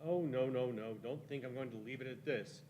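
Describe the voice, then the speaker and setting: A man's voice: medium pitch, brisk, warm tone, a little hiss or hum, close to an everyday voice. A man playing a vengeful, scheming, thwarted villain in a radio play, repeating theatrical threats. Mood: thwarted, threatening. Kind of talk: live staged radio play.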